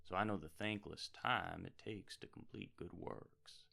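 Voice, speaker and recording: A man's voice: deep voice, a little slow, gravelly, sharp tone, heavily acted. A man playing an easygoing travelling bard from a fantasy world, hosting a radio hour with folksy, wordy, in-character storytelling. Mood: welcoming, reflective.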